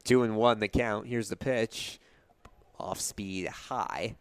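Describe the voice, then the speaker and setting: A man's voice: lowish voice, slow pace, no echo. Young man calling baseball, dry close sound. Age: young adult. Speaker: baseball radio play-by-play announcer. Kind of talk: live play-by-play commentary.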